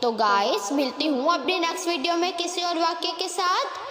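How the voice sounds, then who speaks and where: A woman's voice: extremely high pitch, normal speed, music in the background. A woman hosting a storytelling channel, narrating a scripted moral story. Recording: echoey hall-like reverb, crowd-like background noise.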